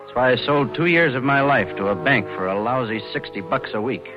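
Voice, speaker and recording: An American actor playing a cold, scheming bank cashier in a hard-boiled 1940s radio drama, brooding and insinuating. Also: male voice, medium pitch, a little fast, lightly acted. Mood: cold, calculating. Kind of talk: 1940s radio noir acting, first-person narration and dialogue. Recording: dull vintage radio recording with music.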